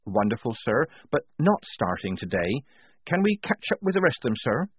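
A man's voice: mid-range voice, sing-song, moderate pace, breathy edge, dry recording, narrow, tinny audio. A man voicing a practical, level-headed young woman soldier with a dry, urgent manner. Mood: impatient.

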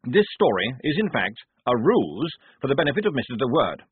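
A man's voice: mid-range voice, sing-song, brisk, husky, dry recording, pitched above the performer's own voice. A man voicing a pompous, earnest young army lieutenant who speaks formally and precisely. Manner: formal, precise officer's speech. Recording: tinny phone-like dry recording.

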